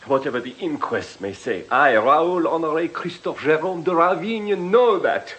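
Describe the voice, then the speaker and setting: A florid, swooping French gentleman. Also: fairly high pitch, expressive, moderate pace. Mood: grieving, indignant. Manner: florid, formal, emphatic. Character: ardent, courtly Frenchman of modest means, a bereaved admirer. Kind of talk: radio drama acting.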